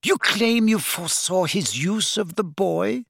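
Man voicing Bellatrix, a shrill, fanatical villainess. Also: big pitch swings, unhurried, a bit bright, husky, no room sound, clean studio sound, full character voice. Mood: jeering, accusing. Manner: outraged, sneering, accusatory. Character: fierce, jeering, fanatically loyal woman villain.

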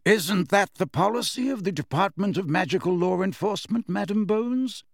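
A man voicing a calm, courteous old wizard in an audiobook, pleasant, measured and formal. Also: sits high, dry recording, clean studio sound, pitched above the performer's own voice, full character voice. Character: calm, courteous old male wizard headmaster, quietly assured. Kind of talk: audiobook character voice.

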